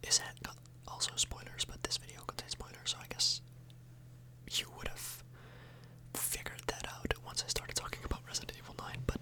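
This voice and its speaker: A woman speaking in a breathy whisper, rambling casually for an ASMR video, close to the microphone and often tagging on questions. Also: a little fast. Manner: casual, meandering, frequent tag questions.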